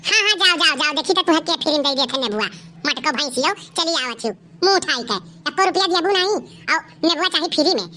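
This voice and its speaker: A woman's voice: high-pitched, animated, fast talker, sharp tone, a little hiss or hum. A woman voicing a stubborn, scolding village vegetable seller in an Awadhi cartoon comedy, with an Awadhi accent. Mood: angry, dismissive. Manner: scolding, argumentative.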